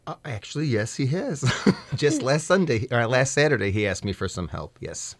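A man's voice: mid-range voice, big pitch swings, normal speed, no room sound. A middle-aged American man as a radio studio guest, chatting in a hesitant, good-humored way with many fillers. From United States. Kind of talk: casual interview chat.

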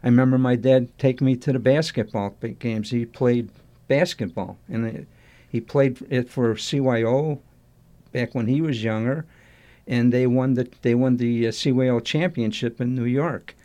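Older man, mellow dark voice, halting reminiscing interview answers. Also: medium pitch, fairly even intonation. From Chicago, USA. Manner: halting, restarts sentences, warmly nostalgic. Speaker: interview guest.

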